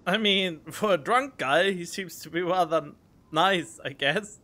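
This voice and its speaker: A man giving casual, expressive gameplay commentary, talking in halting, emotional bursts. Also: high voice. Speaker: game streamer. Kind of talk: casual reacting commentary over a game.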